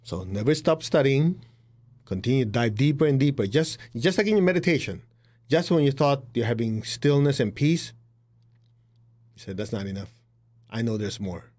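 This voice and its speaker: A man's voice: mid-range voice, expressive, normal speed, pauses often, darkish tone. A middle-aged man with a Chinese accent gives a blunt, direct spiritual talk as a teacher. He acts out the voices of the people he quotes and laughs at his own jokes.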